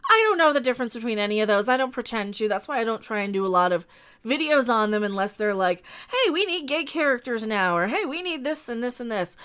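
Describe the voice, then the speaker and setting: A woman's voice: on the high side, expressive, quick pace, fairly bright tone, clean tone. A sniffly woman hosting a casual live stream, rambling bluntly with restarts and asides to her chat.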